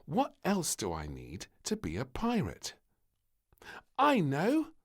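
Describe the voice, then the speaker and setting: A man's voice: fairly high pitch, wildly swooping pitch, takes it slowly, voice pushed up, heavily acted. A man voicing a cheeky, bossy little princess who plays at pirates in a children's storybook, exclaiming in a gleeful, childlike way. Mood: eager.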